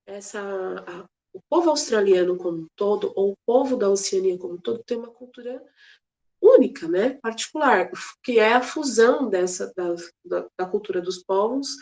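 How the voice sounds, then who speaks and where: A woman's voice: medium pitch, dark tone. A woman teaching a casual geography class, chatty and playful, with many fillers and restarts. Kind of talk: casual online class lecture.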